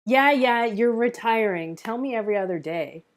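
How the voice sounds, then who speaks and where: A woman's voice: fairly high pitch, expressive, moderate pace, close to an everyday voice. A woman acting a defiant, sarcastic police officer in a screenplay table read, with a blunt delivery. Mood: sardonic. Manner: sardonic, blunt.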